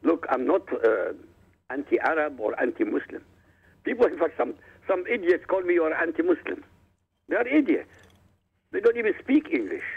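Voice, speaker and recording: A man's voice: high-pitched, vocal fry, husky. A man whose first language is Arabic, a guest author speaking emphatically and combatively in a radio interview. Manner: emphatic, combative, addresses listeners directly. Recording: tinny phone line.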